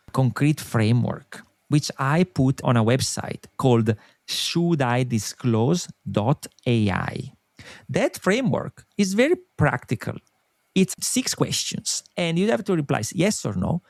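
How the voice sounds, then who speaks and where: A middle-aged man with an Italian accent talks earnestly as an interview guest. His voice moves a lot in pitch as he explains with examples, and his speech sits at a middle pitch. The sound is dry, with no room echo. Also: vocal fry, a little mellow. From Italy. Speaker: podcast company co-founder, guest. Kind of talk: interview conversation.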